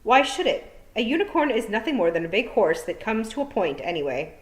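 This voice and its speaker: A woman voicing a sharp, dry, teasing witch who lectures a child. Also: medium pitch, big pitch swings, fairly quick, pitched above the performer's own voice, lightly acted. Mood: arch. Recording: echoey room.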